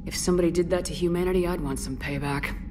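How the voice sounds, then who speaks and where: A woman's voice: on the low side, fairly even intonation, quick pace, noisy background, natural voice. An actress playing a direct, no-nonsense female military commander. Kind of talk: video game voice acting.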